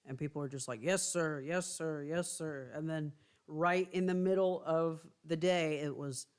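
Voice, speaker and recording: A man with a light, higher voice that callers sometimes take for a woman's, rambling through casual stories. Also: measured pace, clear, resonant tone. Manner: rambling, relaxed storytelling. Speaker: stream co-host. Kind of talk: casual chat.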